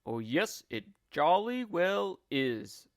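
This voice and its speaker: A man voicing a gleefully cruel, scheming husband in a storybook, taunting with emphatic, drawn-out words. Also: fairly high pitch, very animated, dry recording, clean studio sound, pitched above the performer's own voice, heavily acted.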